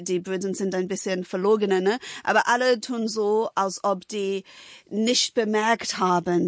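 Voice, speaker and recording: A woman's voice: medium pitch, no room sound, studio quality. A middle-aged woman from England with an English accent, a non-native speaker telling things casually and informally, often adding little tag questions. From England, United Kingdom. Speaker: interview guest. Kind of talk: casual conversational storytelling.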